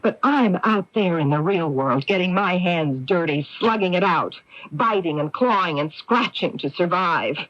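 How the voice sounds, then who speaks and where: An actress playing a worldly, evasive, sharp-tongued middle-aged newspaperwoman, with theatrical emphasis, in a 1980s radio mystery. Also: fairly low pitch, expressive, normal speed, dry recording. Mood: scornful, bitter. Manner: theatrical, emphatic, repeats words. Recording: dull, dry lo-fi radio broadcast.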